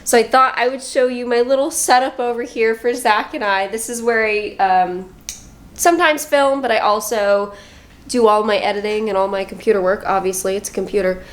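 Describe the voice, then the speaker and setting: A woman's voice: on the high side, steady tone, moderate pace. A woman chatting cheerfully to her camera in a home office. She sounds a little stuffed up and nasal. Her tone is easygoing and friendly. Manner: chatty, upbeat, talks to camera. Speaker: vlogger. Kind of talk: casual vlog chat.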